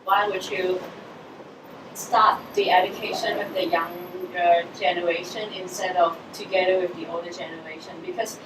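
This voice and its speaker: A woman's voice: on the low side, fairly even intonation, moderate pace. A woman in the audience at a talk, putting a question to the speaker. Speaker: audience member asking a question. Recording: echoey room, distant, noisy air conditioning.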